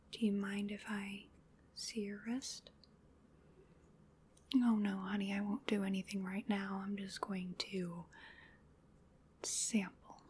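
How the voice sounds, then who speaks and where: A woman's voice: mid-range voice, a little mellow, a little hiss or hum, close to an everyday voice. A woman playing a playful, polite, hungry vampire in a whispered ASMR roleplay, coaxing and musing as she dotes on the listener. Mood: coaxing, doting. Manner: slow, coaxing, musing, with pet names.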